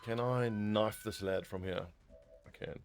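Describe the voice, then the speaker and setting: A man's voice: on the low side, steady tone, measured pace. A man casually commentating his own strategy game play, thinking aloud and swearing now and then. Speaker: gaming video host.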